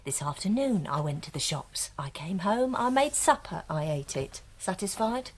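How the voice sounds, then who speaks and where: An actress playing a bitter, suspicious wife with a terse, sardonic manner and a British accent in a naturalistic radio drama. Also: female voice, lowish voice, lively intonation, moderate pace. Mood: flat, sardonic.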